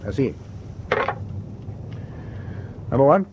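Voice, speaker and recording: An actor playing a composed British naval captain in a radio drama, with a clipped, authoritative and courteous manner. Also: male voice, medium pitch, very animated, racing, lo-fi sound, very noisy, natural voice. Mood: brisk command.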